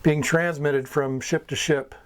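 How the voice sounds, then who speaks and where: An American man with a voice pitched a little above average gives an informal talk. He explains things for beginners as a hobbyist would, in an unscripted instructional style. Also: steady tone, a little slow. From United States. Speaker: amateur radio hobbyist teaching beginners.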